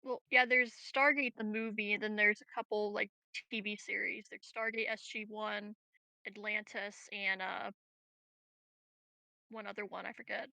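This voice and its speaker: A woman's voice: mid-range voice, level tone, normal speed, vocal fry, bright, forceful tone, dry recording, low-quality recording. A woman chatting casually as a player in a tabletop game.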